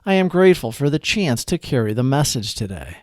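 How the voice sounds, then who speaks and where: A man reading a daily recovery meditation aloud in an earnest, measured manner. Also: mid-range voice, big pitch swings, darkish tone, no room sound, studio quality.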